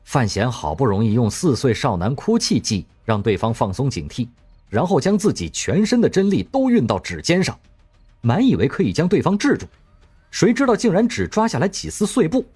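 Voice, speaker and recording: Breathy, lively male narrator. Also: medium pitch, fairly quick. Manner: expressive storytelling. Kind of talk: scripted audio drama narration. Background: faint music.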